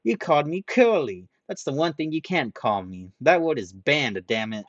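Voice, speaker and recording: A man reading a story aloud, voicing a loud, boastful young man who picks fights and brags. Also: mid-range voice, animated, no room sound, highs cut off, lightly acted. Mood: brash, indignant. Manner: shouting, bragging, quick to pick fights.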